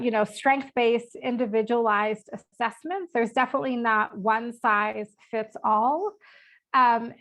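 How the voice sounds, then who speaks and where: A woman with a cold and a stuffed-up voice gives an earnest, steady presentation as a panel speaker at a webinar. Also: fairly high pitch, poor audio.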